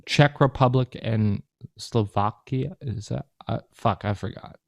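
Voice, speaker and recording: A man's voice: medium pitch, measured pace, vocal fry, a little mellow, no echo, clean studio sound. A man co-hosting a casual podcast, rambling and swearing often. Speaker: podcast co-host.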